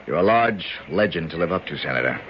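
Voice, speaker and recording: A man's voice: low-pitched, expressive, fast, slight background noise. An actor playing a brash, sardonic tough man from the slums in a 1970s radio drama, talking in punchy slang. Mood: wry, determined. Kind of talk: 1970s radio drama acting and first-person narration. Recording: dull vintage radio recording with music.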